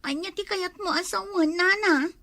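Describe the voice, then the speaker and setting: High-voiced worried Ilocano village wife, played by a woman. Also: moderate pace, sharp tone, no echo, full character voice. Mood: worried, puzzled. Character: worried, complaining village wife of a troublesome husband. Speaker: actor in an Ilocano comedy drama. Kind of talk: amateur comedy drama dialogue.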